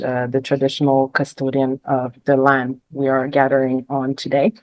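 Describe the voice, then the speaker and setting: A woman's voice: sits low, darkish tone, no echo, low-quality recording. A woman in her forties from Quebec, speaking as a panelist and reading a prepared speech to an audience. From Quebec, Canada. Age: 40s. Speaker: panelist, book contributor.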